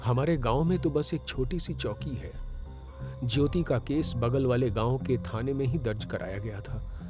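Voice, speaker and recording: A man's voice: medium pitch, normal speed, natural voice. An actor playing a fatherly, earnest elderly village priest who is grieving, in a comic drama. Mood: helpful. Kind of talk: audio drama dialogue over motion comic. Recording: music behind. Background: background music.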